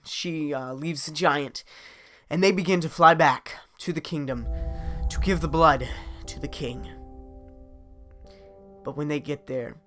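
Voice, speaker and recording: A man improvising a dramatic adventure story as a theatrical storyteller, swinging from hushed to loud. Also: a little high, measured pace, pauses often, faint music behind.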